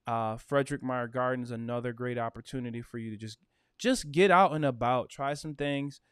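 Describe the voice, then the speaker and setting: A man's voice: medium pitch, normal speed, smooth, no echo, clean studio sound. A man, a realtor chatting casually and upbeat on a livestream, with plenty of "uh" and "you know". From Michigan. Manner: chatty, upbeat, with frequent fillers.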